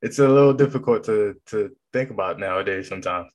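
A man's voice: fairly low pitch, fairly quick, darkish tone. An American man hosting a show, speaking informally in a casual, chatty interview style. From Massachusetts, United States. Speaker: show host.

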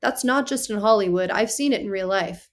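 A woman's voice: mid-range voice, a little fast. A woman in her thirties speaking frankly in a casual talk, direct and reassuring. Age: 30s. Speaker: podcast guest. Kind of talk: casual conversation.

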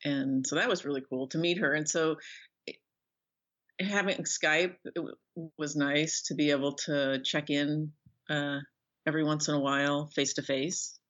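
A woman's voice: low-pitched, fairly even intonation, normal speed, fairly bright tone. A middle-aged woman speaking conversationally as an author being interviewed, on a slightly dull online-call recording. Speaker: guest author.

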